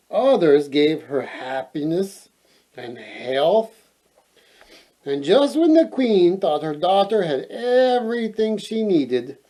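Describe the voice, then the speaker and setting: A man with a fairly high, mellow voice hosts a storytime. He reads at an unhurried pace and playfully drifts into casual asides to his listeners. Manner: playful, digressive, expressive reading. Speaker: storyteller host.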